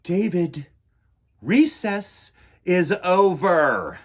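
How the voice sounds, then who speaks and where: Strict storybook teacher, done by a man: high, loud, slow and scolding. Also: big pitch swings, a little hiss or hum, full character voice. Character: stern scolding schoolteacher, strict and exasperated. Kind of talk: storybook read-aloud.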